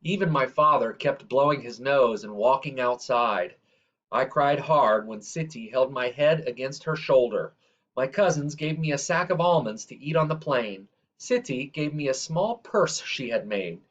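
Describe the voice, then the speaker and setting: A man with a medium-pitched voice narrates a children's storybook. His delivery is measured and expressive, lingering on the ends of phrases. The recording is somewhat dull and lo-fi. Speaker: storyteller reading a children's picture book aloud. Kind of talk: read-aloud scripted narration.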